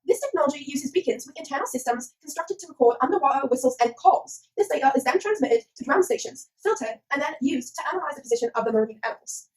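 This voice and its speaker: A woman's voice: high voice, animated, fast talker, few pauses, poor audio. A woman voicing a cheeky puppet presenter, theatrical and slangy, in a scripted comic science explainer. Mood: chirpy explaining. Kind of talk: scripted comic educational narration.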